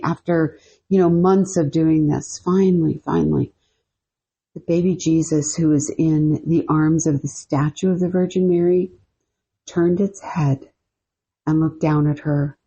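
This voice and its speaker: A woman's voice: low voice, fairly even intonation, unhurried, mellow, breathy edge, muffled recording. A woman co-hosting a podcast, telling a story casually, rambling with lots of "you know" and "like". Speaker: podcast co-host.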